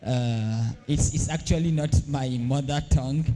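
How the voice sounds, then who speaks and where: A young Nigerian man from the north giving a formal vote of thanks at a ceremony, halting, with many fillers and restarts. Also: mid-range voice, warm tone. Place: large hall at a live event. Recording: long reverb in a large hall.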